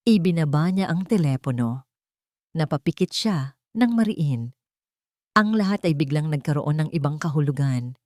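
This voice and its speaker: A woman's voice: low-pitched, darkish tone, no echo, studio quality. A woman reading a dramatic scripted story as an audiobook narrator.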